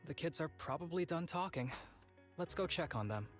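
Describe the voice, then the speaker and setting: A man's voice: on the high side, expressive, normal speed, faint music behind. A man voicing an easygoing, wry young male agent in a video game, with a casual, knowing manner.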